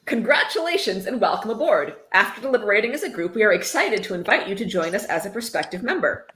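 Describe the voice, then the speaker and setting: A woman's voice: mid-range voice, sing-song, fast, a bit bright. A woman hosting a casual stream, reading aloud and adding sarcastic, mock-dramatic commentary. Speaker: host reading a book aloud on a fundraising stream. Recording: roomy echo, faint noise.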